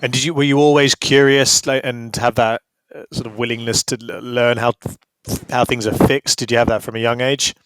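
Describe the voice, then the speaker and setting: A man's voice: mid-range voice, level tone, normal speed, fairly bright tone, low-quality recording. A man hosting an interview podcast who asks curious questions and keeps up short, encouraging replies.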